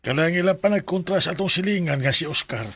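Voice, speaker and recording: A man's voice: a little high, fast, loud music behind the voice, close to an everyday voice. An actor with a Cebuano accent playing a worried older male relative in a radio drama, troubled and grumbling. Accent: Cebuano Filipino.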